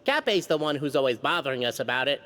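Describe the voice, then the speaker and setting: A man voicing a brash, excitable, flippant clan historian creature in a video game, with a showy manner. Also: medium pitch, quick pace, big-room sound, a little hiss or hum. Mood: breezy, flippant. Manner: showy, exuberant, swooping. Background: background music.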